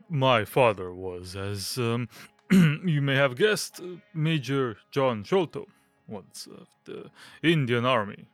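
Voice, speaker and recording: Casting: male actor as fussy aesthete gentleman, stammering and nervous, mid-range voice, close dry sound, audio drama. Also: mid-range voice, no room sound. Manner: hesitant, stammering, nervous giggles and throat-clearing.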